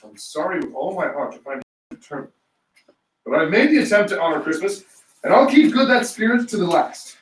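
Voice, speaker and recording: Roomy, slightly distant recording of a man acting out a cheerful nephew in a play reading. The voice is highish and very animated, earnest and theatrical as it pleads with a grumpy uncle. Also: fairly quick. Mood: pleading.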